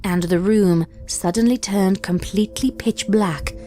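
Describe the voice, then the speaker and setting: A woman reading a dark fanfic aloud in a measured, deliberate storytelling manner. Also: medium pitch, soft background music. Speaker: fanfic reader. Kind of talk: dramatic story narration.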